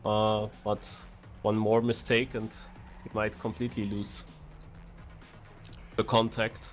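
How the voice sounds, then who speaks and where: A man's voice: lowish voice, flat delivery, slow, soft background music. A man giving live co-commentary on a racing video game. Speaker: esports co-commentator.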